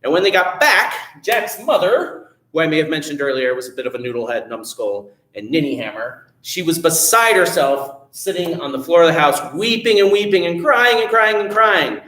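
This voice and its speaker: A man telling a children's story at a library storytime, animated and playful, chatting with his young listeners. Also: on the high side, moderate pace, few pauses, roomy sound.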